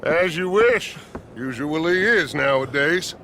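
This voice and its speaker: An actor playing a grandiose middle-aged American outlaw gang leader in a video game, theatrical, sardonic and commanding. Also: male voice, expressive, takes it slowly, bright, forceful tone, exaggerated voice. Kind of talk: cinematic video game acting. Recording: game battle ambience and vehicle rumble.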